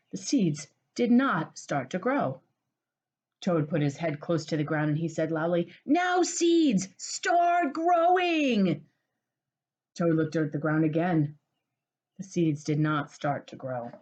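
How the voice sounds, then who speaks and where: A woman reading a storybook aloud to young children in an expressive teacherly way. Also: sits low, measured pace, muffled recording. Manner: expressive, addressing small children.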